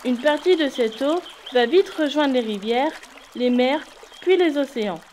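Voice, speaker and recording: High-pitched woman narrating for children. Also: animated, unhurried, a little mellow, slight background noise. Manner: addresses viewers directly, explanatory. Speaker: narrator of an educational cartoon. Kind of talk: scripted educational narration for children.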